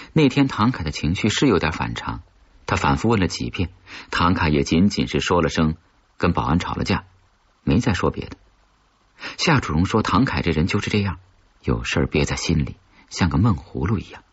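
A man's voice: a bass voice, breathy edge, dull audio. A man reading a suspense novel aloud as a scripted audiobook, with dramatic storytelling delivery. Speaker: audiobook narrator.

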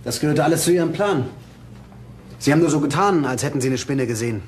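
Fast-talking male detective, played by an actor. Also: fairly high pitch, expressive, a little mellow, a little hiss or hum, lightly acted. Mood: firm, accusing. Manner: matter-of-fact questioning. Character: male police detective, brisk and probing. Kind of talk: German crime radio play acting.

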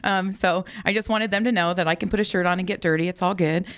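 A woman's voice: fairly low pitch, fairly even intonation, quick pace, clear, resonant tone. A middle-aged woman talking in a radio interview as the co-owner of an auto repair shop.

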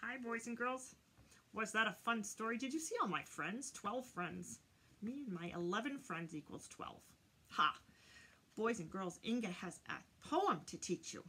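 A friendly crow puppet , voiced by a woman, greets small children in a creaky, chatty voice. It is proud of its friends and eager to ask questions, in a simple story-time show. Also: mid-range voice, expressive, a little slow, sharp tone, full character voice.

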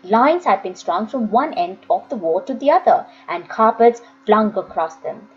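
A woman reading a story aloud as a storyteller, with deliberate, dramatic phrasing. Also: mid-range voice, expressive, dull audio, slight background noise. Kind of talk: expressive scripted audiobook reading.

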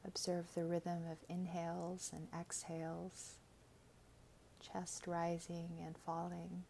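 A woman reading a scripted guided meditation in a gentle, soothing, measured way, with soft, breathy phrase endings. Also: lowish voice, monotone, a little hiss or hum, low-quality recording.

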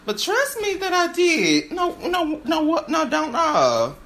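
A woman performing an improvised stage-play monologue, halting and stammering as she repeats her phrases. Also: sits high, wildly swooping pitch, fairly bright tone.

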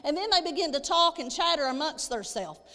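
High-voiced woman preaching in a reverberant hall. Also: animated, brisk, pushed and bright. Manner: emphatic, repeating phrases for weight. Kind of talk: sermon with scripture reading and explanation. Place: church stage indoors, at a lectern. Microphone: handheld microphone. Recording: echoey hall with long reverb.

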